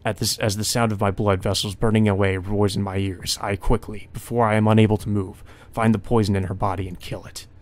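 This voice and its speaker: A man's voice: on the low side, little pitch movement, a little fast, hardly any pauses. A man hosting a let's play, reading visual novel narration aloud and adding his own off-the-cuff reactions.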